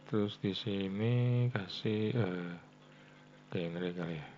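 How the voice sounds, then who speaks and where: An Indonesian man giving a casual coding tutorial, thinking aloud in a halting way and often tagging phrases for his viewers. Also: fairly low pitch. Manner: halting, frequent "ya" tags addressing viewers as friends. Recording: dull lo-fi mic with faint hum.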